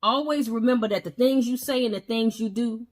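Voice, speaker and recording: A woman co-hosting a casual talk show, earnestly giving relationship advice in a relaxed style. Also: fairly high pitch, fairly quick. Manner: earnest, advising, emphatic. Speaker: co-host. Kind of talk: casual chat about relationships.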